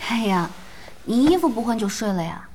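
Composed young woman gamer, higher voice. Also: normal speed. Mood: calm, assured. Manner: calm, thoughtful, understated. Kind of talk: Chinese radio drama acting. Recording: music behind the voice.

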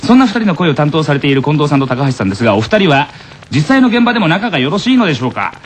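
A man's voice: fairly high pitch, big pitch swings, racing, a little hiss or hum. A man co-hosting a radio show, chatting casually and reading listener mail with joking, teasing banter. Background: background music.

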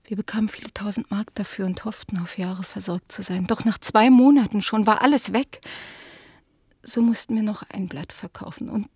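A husky, pleading spinster daughter. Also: female voice, medium pitch, fairly even intonation, normal speed, dry recording, clean studio sound. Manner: confessional, pleading, often hushed. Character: unmarried middle-aged daughter, ashamed and pleading. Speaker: the collector's daughter. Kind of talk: radio drama acting.

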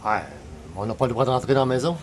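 A man's voice: mid-range voice, fairly even intonation, quick pace, a faint crowd, a little hiss or hum, natural voice. A man acting as a casual, matter-of-fact party guest in a radio comedy, speaking in a hesitant, conversational way.

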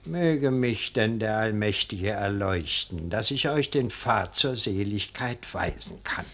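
A man's voice: fairly low pitch, animated, a bit bright, heavily acted. An actor playing an ancient, pious abbot in a 1940s radio play, speaking in solemn, fatherly, archaic phrases. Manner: slow, solemn, archaic clerical speech. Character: ancient, pious abbot, hundred years old, grave and fatherly.

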